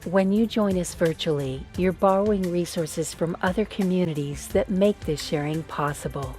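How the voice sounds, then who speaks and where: Woman narrator, measured formal read, lowish voice over soft music. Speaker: narrator of a land acknowledgement. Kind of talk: scripted narration.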